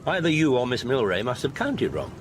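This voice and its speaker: An actor playing a polite, observant older English gentleman, dry and gently gossipy, in a radio drama. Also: medium pitch, huge pitch swings. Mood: dryly curious. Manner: measured, polite, dryly inquisitive. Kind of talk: British radio drama acting. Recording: noisy radio play recording.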